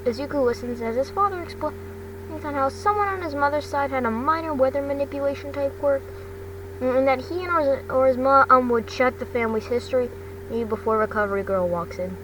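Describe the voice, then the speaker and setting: A woman narrating a story aloud in a halting way, stumbling over words as she reads. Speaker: amateur fan fiction reader. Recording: distant mic with loud rumbling noise.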